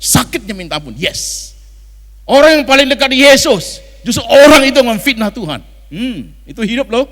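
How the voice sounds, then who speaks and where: A man's voice: very high-pitched, big pitch swings, normal speed, a bit bright. A man with an Indonesian accent preaching as a pastor, telling stories dramatically in colloquial speech sprinkled with English phrases. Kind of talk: animated storytelling sermon acting out dialogue. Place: large church function hall. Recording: reverberant hall sound.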